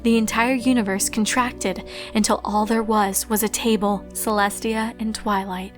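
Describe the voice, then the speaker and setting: A woman reading a story aloud in an expressive, scripted narration style. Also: mid-range voice, moderate pace, faint music behind. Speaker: fan fiction narrator.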